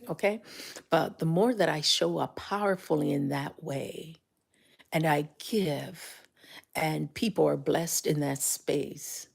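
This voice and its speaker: An older Jamaican woman with a low, gravelly voice hosts a motivational livestream. She talks casually and tells stories with animation, her voice jumping up when excited and sinking to near whispers. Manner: animated storytelling, rises high when excited, drops to whispers. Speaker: life coach and livestream host.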